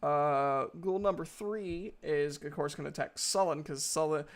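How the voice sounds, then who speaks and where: A man narrating a tabletop game as game master in a halting, informal, slangy way. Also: fairly high pitch, fairly even intonation.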